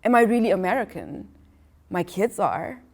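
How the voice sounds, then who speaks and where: A woman's voice: mid-range voice, very animated, normal speed, darkish tone. A woman artist who grew up in Iran and Pakistan and speaks Farsi as her first language, telling her story in a rambling way full of restarts. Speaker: artist, interview guest. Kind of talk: casual conversational storytelling. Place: art gallery.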